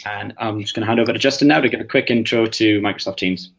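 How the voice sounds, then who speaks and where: Lowish, muffled man, filler-heavy webinar talk. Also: fast talker, gravelly, a bit bright. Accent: Northeast English. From England. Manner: hesitant, many fillers and restarts. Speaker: webinar presenter. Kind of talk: webinar presentation. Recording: muffled lo-fi audio.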